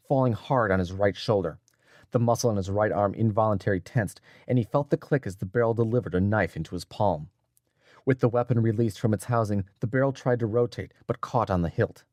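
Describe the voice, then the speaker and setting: A man reading scripted adventure fiction as an audiobook narrator, in a dramatic, measured storytelling manner. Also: fairly low pitch, darkish tone, no echo, studio quality.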